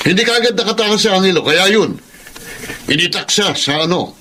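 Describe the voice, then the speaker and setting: A man's voice: high voice, expressive, fairly quick, pushed and bright, a little hiss or hum. A man playing a gossipy but earnest neighborhood drunkard in a Filipino radio drama, with a Filipino accent.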